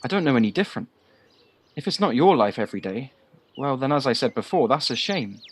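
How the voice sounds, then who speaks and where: A man's voice: mid-range voice, very animated, fairly quick, natural voice. A young man acting a simple, earnest, friendly twenty-five-year-old in an amateur audio drama. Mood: earnest, contented. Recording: faint noise, home-recorded on personal device.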